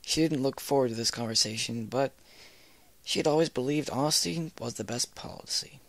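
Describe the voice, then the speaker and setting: A man's voice: medium pitch, brisk, dry recording. A man reading fan fiction aloud as a scripted narration. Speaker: story reader, series host.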